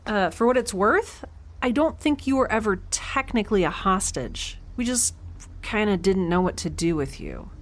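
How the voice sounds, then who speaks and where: Woman acting as awkward wry young woman on a space station, swingy pitch, audio drama, slight noise behind. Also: expressive, normal speed, close to an everyday voice. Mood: nervous, placating. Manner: hesitant, conversational, wry. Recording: faint background noise.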